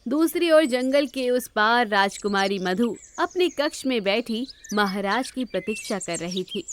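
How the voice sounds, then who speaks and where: High-pitched, expressive woman storyteller. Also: unhurried, slight background noise. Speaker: narrator. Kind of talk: scripted Hindi bedtime story narration.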